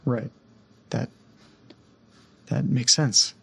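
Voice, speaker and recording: A man playing a rattled, apologetic young man in an audio drama, speaking haltingly and stammering in a husky, creaky voice. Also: medium pitch, steady tone, a little hiss or hum. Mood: resigned, uneasy. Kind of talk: naturalistic audio drama acting.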